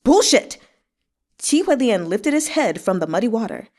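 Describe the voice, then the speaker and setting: A woman's voice: medium pitch, sing-song, a little fast, darkish tone. A woman narrating a novel aloud expressively as a read-along host.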